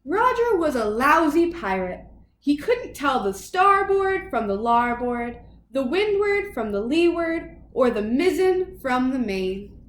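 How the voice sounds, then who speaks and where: A woman reading a children's picture book aloud in an expressive, dramatic way for young listeners. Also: sits high, slow, roomy sound, slight background noise. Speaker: children's storybook reader.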